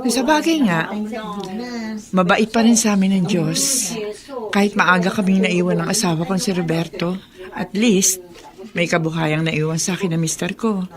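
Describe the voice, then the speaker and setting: A woman's voice: lowish voice, normal speed, a crowd in the background, close to an everyday voice. A woman acting a good-natured, chatty Filipino widowed mother in a radio drama. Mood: chatty, wistful. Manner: chatty, reassuring.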